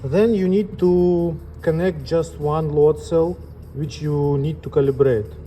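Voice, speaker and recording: A man giving an unscripted step-by-step equipment tutorial as an instructor, speaking plainly and haltingly in short phrases. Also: on the high side, steady tone, dark tone, a little hiss or hum. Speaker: instructor demonstrating equipment calibration.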